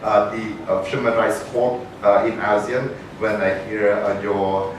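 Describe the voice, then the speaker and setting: A man asking a long question from the floor at a panel discussion, halting with many fillers and restarts. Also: fairly low pitch, flat delivery. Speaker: audience member asking a question. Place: large conference room. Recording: echoey hall from far off, noisy.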